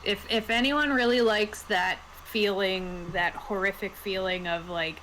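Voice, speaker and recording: Casual woman, mid-pitched, faintly noisy recording. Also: steady tone, unhurried, fairly bright tone. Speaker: co-host and player in a roleplaying discussion. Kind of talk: casual chat.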